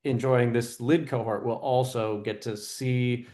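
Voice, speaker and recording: A man's voice: medium pitch, fairly even intonation, a little slow, a little mellow. A man who is a school teacher and mentor speaks in a friendly, casual way, with loose phrasing, on a video call. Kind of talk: casual introduction.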